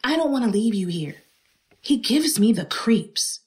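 A woman's voice: on the high side, big pitch swings, a little slow, a little mellow. A woman playing a blunt, sardonic but protective bookstore worker in a radio drama. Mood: uneasy, caring.

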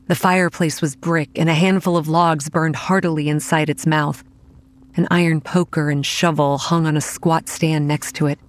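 A young woman playing a dazed, haunted campground manager, narrating in the first person in a horror audio drama in a measured, introspective way. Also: deep voice. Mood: dazed, uneasy. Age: young adult.

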